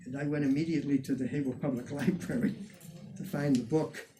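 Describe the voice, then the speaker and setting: An older man, a museum curator, telling animated anecdotes to a small audience. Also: on the high side, quick pace, noisy background. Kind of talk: storytelling talk to an audience.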